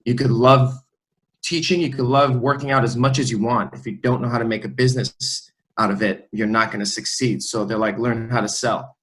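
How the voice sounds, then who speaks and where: A man's voice: medium pitch, fairly even intonation, fairly quick, a bit bright. Easygoing man telling his story. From Lebanon, raised in the US. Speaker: guest, fitness trainer. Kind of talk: casual interview. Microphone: remote recording.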